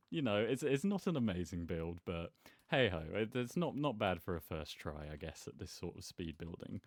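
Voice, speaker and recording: A man's voice: medium pitch, no room sound. A man giving casual, rambling gameplay commentary as host, with a self-deprecating manner. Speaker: gaming video host.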